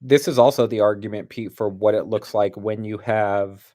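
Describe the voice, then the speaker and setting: A man's voice: on the low side, fairly even intonation, normal speed, mellow. A man co-hosting casual sports commentary, analysing the game in a conversational, explanatory way.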